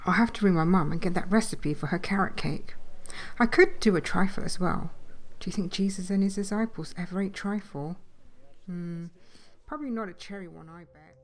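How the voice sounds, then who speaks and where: A woman's voice: lowish voice, normal speed, natural voice. A woman acting a chatty, blunt, down-to-earth wife in a comedy audio drama, plain-spoken and chiding.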